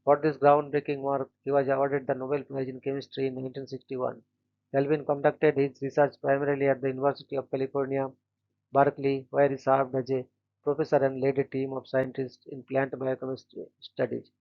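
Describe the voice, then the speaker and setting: A man hosting a daily channel, reading short scripted biographies in a flat, steady way and stumbling now and then on names. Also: mid-range voice, brisk, vocal fry, phone-quality sound. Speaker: host of a daily events channel.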